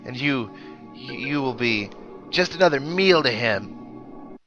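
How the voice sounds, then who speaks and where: A man's voice: mid-range voice, expressive, slow pace, a bit bright, lo-fi sound, soft background music. A man voicing an obnoxious, gloating boy villain from a video game, with a taunting, theatrical, mock-pitying delivery. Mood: mocking menace. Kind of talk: read-aloud video game villain dialogue.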